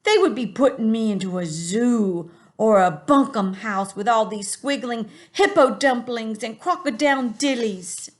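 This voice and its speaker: A woman's voice: expressive, unhurried, few pauses. A woman reading a storybook aloud and voicing a kindly male giant with a funny put-on English accent and jumbled grammar. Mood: pleading. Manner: booming, mangled grammar. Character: huge kindly male giant, garbled words.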